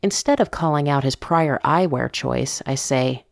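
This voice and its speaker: A woman giving a measured, intimate first-person narration of a scripted drama, as if reading from a diary. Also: a bass voice, vocal fry, dry recording, clean studio sound. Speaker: narrator of a fiction podcast story.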